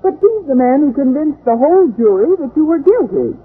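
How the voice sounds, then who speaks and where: An actress playing a wry, quick-witted American schoolteacher in an old-time radio comedy, with deadpan, sarcastic delivery. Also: female voice, high voice. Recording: tinny, noisy vintage radio recording.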